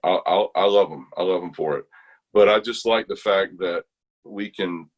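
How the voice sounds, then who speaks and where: A man's voice: sits low, normal speed, highs cut off. An American man chatting casually as an interview guest, thinking aloud in loose, broken phrases. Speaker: interview guest, bass gospel quartet singer.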